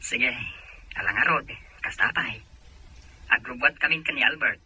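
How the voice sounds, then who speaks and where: Actor as raspy, bright Ilocano husband. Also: male voice, mid-range voice, normal speed, heavily acted, poor audio. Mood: affectionate. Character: sociable, teasing husband and work friend. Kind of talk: serial audio drama acting.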